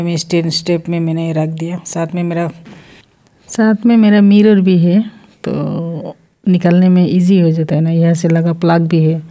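A woman with a lowish, mellow voice talks through a casual home product review. She speaks in a flat, even tone and rambles, restarting sentences and mixing in English words. It sounds like a phone camera recording in a small room. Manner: chatty, rambling, with frequent restarts and English mixed in.

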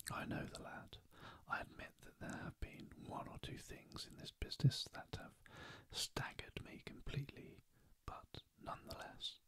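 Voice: pushed and bright, dry recording, close to an everyday voice. A reader whispering the part of a blunt, grave male secretary in an audiobook. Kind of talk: ASMR audiobook reading.

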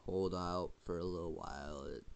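A young man hosting a casual holiday vlog while sick with a cold, coughing now and then and rambling with halting restarts. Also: low voice, fairly even intonation, gravelly. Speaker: channel host.

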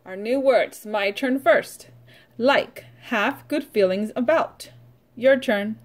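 A middle-aged woman speaks as a preschool teacher to young children. Her voice sits a little high and she goes very slowly. She is instructional, leaving pauses so that listeners can join in or repeat. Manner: instructional, prompting with pauses for repetition. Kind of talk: read-aloud vocabulary lesson for young children.

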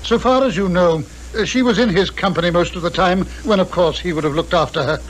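A faintly noisy old radio drama recording of a man playing an imperious psychiatrist-sleuth. His upper-class British voice sits fairly high, and he phrases everything with pedantic, pompous precision. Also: a little fast, lightly acted. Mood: probing. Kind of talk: 1950s radio drama acting.

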